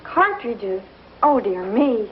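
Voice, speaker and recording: An actress playing a firm but kindly young schoolteacher in a 1950s television Western, speaking with precise, proper diction. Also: female voice, a little high, animated, slow pace, a little hiss or hum. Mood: firm, prim. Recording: muffled old 1950s TV soundtrack, loud music.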